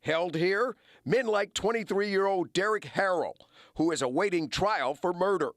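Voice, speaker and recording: Man, American accent, news reporter, raised pitch, broadcast reporting style. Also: unhurried, gravelly, dry recording, clean studio sound. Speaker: television news investigative reporter.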